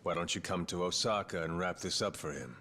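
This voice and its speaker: A man's voice: deep voice, fairly quick, sharp tone, slight background noise. An actor, a man, playing a brash, wild yakuza veteran in a video game cutscene. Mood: cocky. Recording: music behind the voice.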